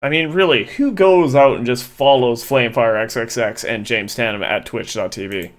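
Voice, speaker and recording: A man's voice: mid-range voice, moderate pace. A man in his thirties streaming a game from his desk on a headset, chatting casually and teasing his friends. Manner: joking, teasing banter with friends. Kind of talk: casual gaming stream chat. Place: small indoor room at a desk.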